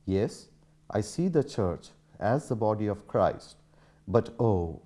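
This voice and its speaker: Dark, lively-pitched man reading aloud. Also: slow. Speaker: reader. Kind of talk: public reading of a historic letter.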